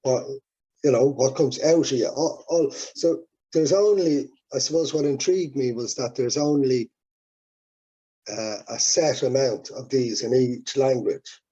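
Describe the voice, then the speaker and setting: A man's voice: medium pitch, a little slow, dark tone, husky, muffled recording. A man with an Irish accent, a native Irish speaker, chatting as a musician guest in an interview, rambling and reflective with many restarts. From Ireland. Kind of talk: casual interview talk.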